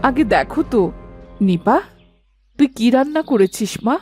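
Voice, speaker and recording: A woman voicing a sensible, gently scolding middle-aged village mother in an animated fairy tale. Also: fairly high pitch, unhurried, breathy edge, soft background music. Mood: encouraging.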